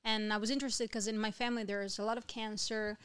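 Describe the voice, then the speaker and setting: A woman from Italy, a singer-songwriter chatting as a podcast guest, bubbly and quick to laugh, often restarting her sentences. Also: mid-range voice, flat delivery, fairly bright tone, smooth. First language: Italian. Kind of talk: casual podcast chat.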